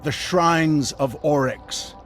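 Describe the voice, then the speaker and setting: A man's voice: a little high, takes it slowly, a bit bright, faint music behind. A man playing an old mystic warlock in a video game, intoning prophecy in a solemn, incantatory manner. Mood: foreboding. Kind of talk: dramatic game narration.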